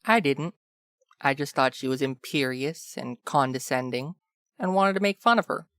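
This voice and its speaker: A voice actor playing a sarcastic, guarded male rebel prisoner in an audio drama, wry and deadpan. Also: medium pitch, normal speed, smooth, dry recording, clean studio sound, close to an everyday voice. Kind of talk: fan fiction audio drama acting.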